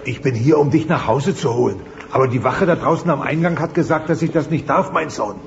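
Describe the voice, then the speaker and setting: An actor playing a soft-hearted, sulky dinosaur father, plaintive and melodramatic, in a children's audio play. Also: medium pitch, fairly quick, gravelly, close to an everyday voice. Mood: remorseful. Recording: muffled, noisy cassette-style audio.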